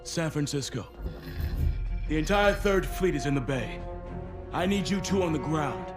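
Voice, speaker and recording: An actor playing a commanding military officer who gives curt, authoritative orders. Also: male voice, fairly high pitch, expressive, measured pace, music in the background.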